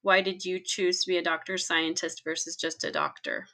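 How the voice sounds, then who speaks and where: A woman hosting a podcast, reading a scripted introduction and asking interview questions in a clear, measured way. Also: lowish voice, level tone, bright, forceful tone. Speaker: podcast host.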